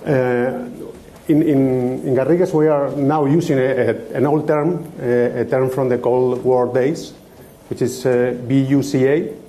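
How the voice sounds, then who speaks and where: Man, mellow dark tone, repeats words, faint hiss. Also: mid-range voice, fairly even intonation, a little slow. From Spain. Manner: joking, repeats words, tag "no?". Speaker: IT director, panelist. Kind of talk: conference panel answer.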